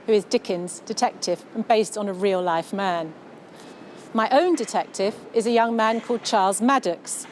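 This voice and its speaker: A woman with an English accent giving an articulate, measured, scripted talk as a novelist. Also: a little high, a little mellow, a little hiss or hum. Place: outdoors on a London street.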